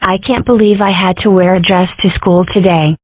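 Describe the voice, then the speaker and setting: A spirited, wry girl fox, voiced by a woman speaks at a middling pitch with a sardonic edge. This is a comic-strip dub. The sound is dry and tinny, as if heard over a phone. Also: normal speed, no echo, natural voice. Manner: sardonic and lively.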